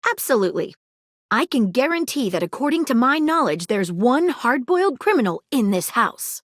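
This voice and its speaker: Eager sleuthing magnifying glass, bouncy, highish voice, dry studio read. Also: female voice, sing-song, moderate pace, dry recording. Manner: theatrical noir detective patter, suspicious and playful. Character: eager female detective magnifying glass, noir-style sleuth. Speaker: voice actor. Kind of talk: video game character voice lines. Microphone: close studio mic.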